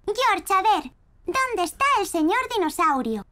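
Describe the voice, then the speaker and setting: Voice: extremely high pitch, big pitch swings, a little slow, bright, forceful tone, exaggerated voice. A performer voicing a cheerful, bossy little girl piglet in a children's cartoon, with a playful, eager manner and a Castilian Spanish accent.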